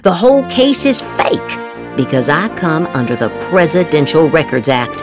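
A woman's voice: low voice, big pitch swings, normal speed, over music. A woman podcast host doing a theatrical dramatic reading of social media posts, with exaggerated, emphatic inflection.

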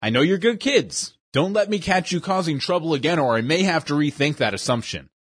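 A stern middle-aged school principal lectures the students, as a man reads him in a storybook. His voice is husky and close, with a lively rise and fall. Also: a little high, brisk, no room sound.

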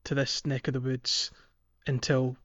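A man's voice: mid-range voice, level tone, a little slow, a bit bright. A man co-hosting a podcast in casual game discussion, often correcting his phrasing as he goes. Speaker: podcast co-host. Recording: muffled lo-fi audio.

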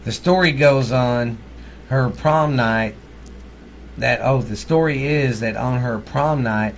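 A man's voice: mid-range voice, takes it slowly, a little hiss or hum. A middle-aged man with a Texan accent, co-hosting a podcast and telling ghost stories in a blunt, joking, profane way. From Texas. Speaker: podcast co-host. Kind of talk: casual ghost-story chat.

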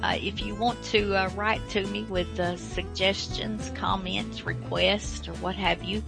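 Slow woman hosting over music. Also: mid-range voice, steady tone, creaky, low-quality recording. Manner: folksy, halting, rambling. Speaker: radio show host. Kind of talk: casual unscripted show introduction.

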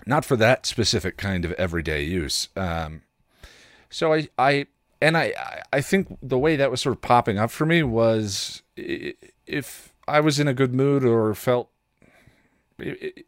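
A man hosting a podcast, talking casually in a halting, self-deprecating monologue as he thinks aloud. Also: mid-range voice, fairly bright tone, no echo, clean studio sound. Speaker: podcast host.

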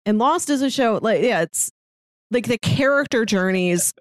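Woman, high voice, dry close sound, casual podcast chat, animated and opinionated. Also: normal speed, no room sound. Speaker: podcast co-host. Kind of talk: casual discussion of a TV series.

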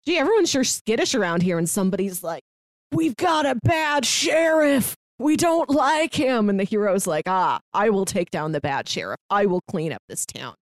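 A woman's voice: medium pitch, animated, normal speed, no room sound, clean studio sound. A woman co-hosting a podcast in a casual film review chat, slipping into imagined dialogue as she explains. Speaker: podcast co-host.

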